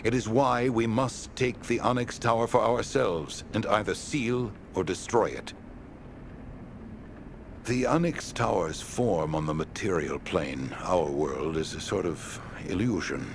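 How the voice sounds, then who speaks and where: A man playing a secretive, earnest member of a noble order in a video game, explaining gravely and deliberately. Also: fairly low pitch. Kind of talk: video game exposition dialogue. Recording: noisy game capture.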